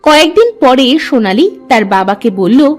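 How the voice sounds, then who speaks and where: A woman's voice: high voice, very animated, moderate pace, soft background music. A woman reading scripted narration for a cartoon folk tale.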